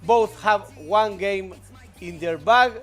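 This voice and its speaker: A man from Argentina with an Argentine Spanish accent, co-commentating live esports in halting, enthusiastic English. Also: sits high, fairly bright tone, soft background music.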